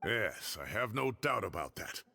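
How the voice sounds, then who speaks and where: A man voicing an indignant, theatrical elderly retired professor in a video game, with gravelly, exasperated delivery. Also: lowish voice, sing-song, a little slow, bright, forceful tone, soft background music, full character voice. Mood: grave, resolute. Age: older. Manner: blustering, exasperated, dramatic.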